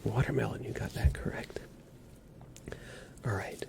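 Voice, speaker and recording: A man whispering softly and soothingly as a reassuring doctor in an ASMR exam roleplay. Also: on the low side, steady tone, a little slow. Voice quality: whispered, breathy soft voice. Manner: gentle, soothing, reassuring instructions. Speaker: ASMR performer playing a doctor.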